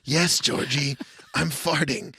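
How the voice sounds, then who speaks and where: A man playing a creepy, crude sewer clown in an improvised comedy skit, luring slowly with drawn-out words. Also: on the high side, steady tone, vocal fry, bright, forceful tone, breathy edge, full character voice.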